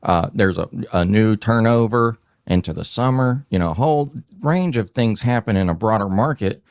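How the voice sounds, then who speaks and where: A man's voice: lowish voice, expressive, moderate pace, a little mellow, clear, resonant tone, no echo, clean studio sound. A man hosting a casual crypto talk show, rambling and emphatic, with many fillers and restarts. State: hot and sweating. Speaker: podcast host.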